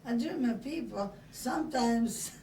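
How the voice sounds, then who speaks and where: Older woman, Italian accent, interviewee, casual halting storytelling, far from the mic, some background noise. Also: a little high, expressive, mellow. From Italy. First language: Italian dialect. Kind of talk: casual oral-history reminiscing. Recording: echoey room, distant mic, background noise.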